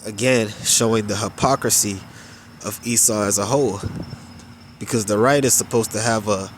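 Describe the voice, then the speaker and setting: A man with a stuffed-up, nasal voice giving unhurried religious commentary, drawing out his phrases with filler pauses. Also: fairly low pitch, a little hiss or hum.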